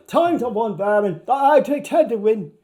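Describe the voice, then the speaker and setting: A man's voice: extremely high pitch, animated, slow, mellow, full character voice. A man with an Irish accent voicing a cocky, jaunty gambler calling out in a pub, in a theatrical literary reading.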